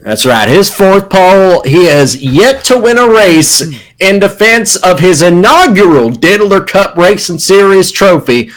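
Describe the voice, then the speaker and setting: A man's voice: high-pitched, expressive, measured pace, rough recording. A man doing comedic live race commentary with a hyped, showy announcer delivery.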